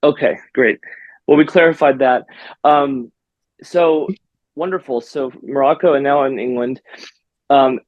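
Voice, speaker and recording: A man hosting a podcast in a friendly, chatty way, with lots of ums and small restarts. His voice sits at an ordinary middle pitch, recorded close and dry with no room sound. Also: unhurried, long pauses, dry recording, highs cut off. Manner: friendly, hesitant with fillers. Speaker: podcast host, physical therapist. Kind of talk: casual interview podcast.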